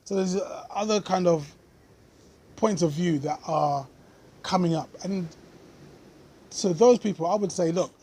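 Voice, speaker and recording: A man speaking earnestly to camera in his own vlog, thinking aloud with many pauses and fillers. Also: sits high, darkish tone, a little hiss or hum. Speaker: vlogger. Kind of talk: unscripted commentary to camera.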